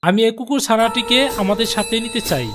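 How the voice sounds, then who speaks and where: A soft-hearted young ghost schoolgirl, voiced by a woman in a cartoon. Her voice is a little high and she speaks quickly, with loud music behind her. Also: lightly acted. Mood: pleading. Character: soft-hearted young female ghost pupil fond of animals. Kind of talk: Bengali comic ghost cartoon dialogue.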